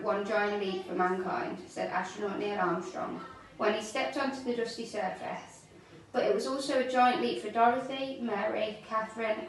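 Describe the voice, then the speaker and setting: Very echoey woman reading a storybook. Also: medium pitch. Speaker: school staff member reading aloud. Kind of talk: storybook read-aloud. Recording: very echoey, distant mic, faint noise.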